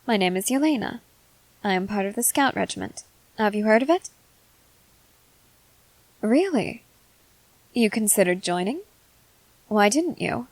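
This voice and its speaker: A woman playing a composed, inquisitive young female soldier in an ASMR roleplay, speaking formally in measured, deliberate phrases. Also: mid-range voice, no room sound, rough recording. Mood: curious, polite. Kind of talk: scripted ASMR roleplay, acted to the listener.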